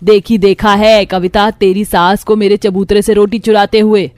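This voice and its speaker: A rich neighbourhood auntie from a cartoon story, acted by a woman. She is haughty and brash, with a sarcastic, accusing way of talking at a medium pitch. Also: little pitch movement, moderate pace, a little mellow, no room sound, studio quality, close to an everyday voice.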